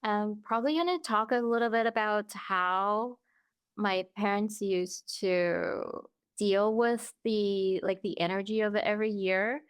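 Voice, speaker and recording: A woman from Taiwan, a guest on a podcast, speaking in a measured way and searching for words. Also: medium pitch, smooth, no room sound, studio quality. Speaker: interview guest. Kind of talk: casual interview chat.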